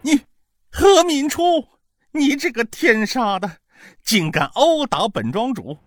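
A man's voice: medium pitch, huge pitch swings, a little slow, slightly rough, no room sound, studio quality, heavily acted. A man voicing an arrogant, foul-mouthed male estate manager who sneers, curses and howls in pain. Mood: howling in pain.

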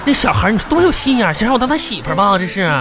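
A woman's voice: mid-range voice, very animated, rapid-fire, lightly acted. A woman playing a boastful, gluttonous young best friend in a comedic radio drama, with a Northeastern Chinese accent and an indignant, exaggerated way of complaining. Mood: scornful. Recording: music behind the voice.

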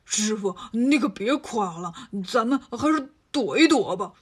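A woman's voice: a little high, lively intonation, slightly rough. Woman voicing a whiny stirring pig-monk. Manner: whiny, stirring up trouble, drawn-out. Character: greedy lazy pig-monk, male, sly troublemaker.

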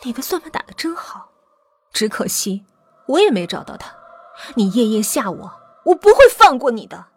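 A woman's voice: mid-range voice, lively intonation, normal speed, slight background noise, lightly acted. A woman voicing a cold, vengeful young woman in an audio story, sneering and accusing. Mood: cold anger.

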